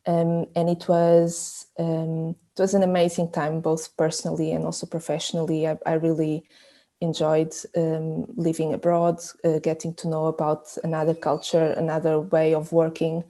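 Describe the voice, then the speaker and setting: A woman's voice: sits low, monotone, moderate pace, mellow. A woman from Portugal, a research group leader, explaining her work in an interview with frequent fillers and restarts. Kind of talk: interview answers.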